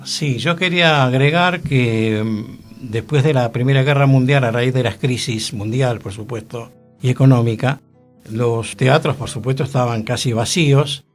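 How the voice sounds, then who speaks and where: A man's voice: medium pitch, normal speed, soft background music. An older man with a Rioplatense accent hosting a radio show, explaining theater history with frequent tag questions. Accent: Rioplatense Spanish. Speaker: radio host.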